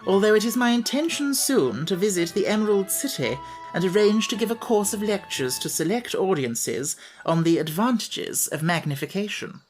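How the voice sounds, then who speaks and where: A woman's voice: mid-range voice, lively intonation, moderate pace, darkish tone, faint music behind, voice pushed up, close to an everyday voice. A woman voicing a pompous, overly polite male insect who fancies himself a scholar, speaking in elaborate phrases.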